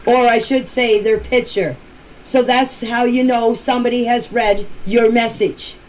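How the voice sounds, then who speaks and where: A woman with a slightly high voice talks informally, as if leaving voice messages on her phone. She explains in a halting way and often circles back to repeat herself. There is some faint noise in the recording. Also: fairly even intonation, darkish tone. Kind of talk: informal voice messages to a group chat. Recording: noisy phone voice clips.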